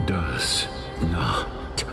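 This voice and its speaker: A man's voice: rumbling low, very animated, very slow, gravelly, exaggerated voice. A man voicing a forbidding spirit of the underworld in a video game, speaking in broken, portentous phrases. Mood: ominous. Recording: loud music over a distant voice.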